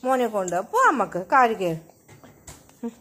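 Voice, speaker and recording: A mother soothing her little one in gentle, repetitive baby talk, asking the same questions over and over. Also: on the high side, lively intonation, moderate pace. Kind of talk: cooing baby talk to a child.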